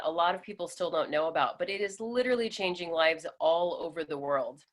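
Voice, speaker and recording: A woman's voice: fairly low pitch, a bit bright. A woman from Florida hosting a webinar over a video call, upbeat and welcoming. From Florida, USA. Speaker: webinar host.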